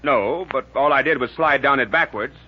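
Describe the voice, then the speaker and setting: A man's voice: medium pitch, moderate pace, lightly acted. A man playing a testy, stubborn middle-aged husband and father in a 1940s radio comedy. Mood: exasperated. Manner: testy, blustering. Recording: muffled, hissy 1940s radio recording.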